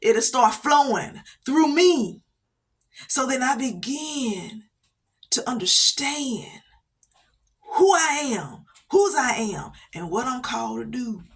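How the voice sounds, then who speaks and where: Woman, faith speaker, somewhat high voice, repetitive preachy delivery with light laughs. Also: very animated, slow. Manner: preachy, repeats phrases for emphasis, laughs between points. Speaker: inspirational speaker. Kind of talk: motivational faith talk.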